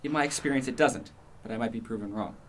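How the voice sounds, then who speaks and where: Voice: medium pitch, fast, natural voice. A performer playing a proud, argumentative male Renaissance philosopher in exile in a radio play, speaking in a dry, haughty manner. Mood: dry, defensive. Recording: faint background noise.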